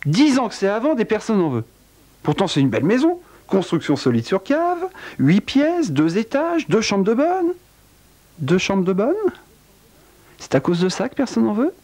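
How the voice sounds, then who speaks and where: A man telling a dark true-crime tale in a wry, theatrical way, with a cold. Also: huge pitch swings, normal speed. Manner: wry, theatrical storytelling with big pitch swings. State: has a cold. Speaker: narrator. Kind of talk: dramatic spoken narration.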